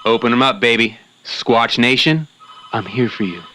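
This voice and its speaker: An actor playing a cranky, self-important Sasquatch hunter as a blustery, indignant radio show guest. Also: male voice, medium pitch, normal speed, sharp tone, natural voice. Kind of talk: acted radio interview.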